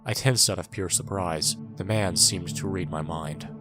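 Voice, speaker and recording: Audio drama casting: male actor as wounded young soldier narrating, very low voice, somber and wry, over music. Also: normal speed, close to an everyday voice. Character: bitter wounded young male soldier recounting his war.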